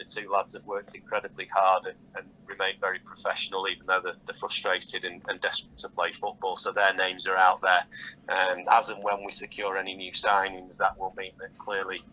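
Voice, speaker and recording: A deep-voiced man with a very hard, bright edge and little melody speaks as a football manager on a phone interview. He answers in measured, halting phrases, repeating words as he works through them. The line is slightly dull. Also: monotone. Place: phone call. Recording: dull, lightly noisy phone call audio.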